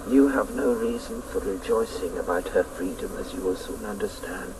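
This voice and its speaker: An actor in a radio drama playing a stern old spirit, solemn, mocking and portentous. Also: male voice, lowish voice, noisy background, close to an everyday voice. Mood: grudging, warning. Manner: slow, solemn, portentous delivery.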